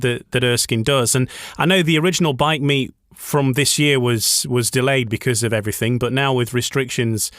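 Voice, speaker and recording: Halting male radio host. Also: medium pitch, a bit bright, no echo, clean studio sound. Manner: halting, many restarts and you-knows. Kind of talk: radio interview.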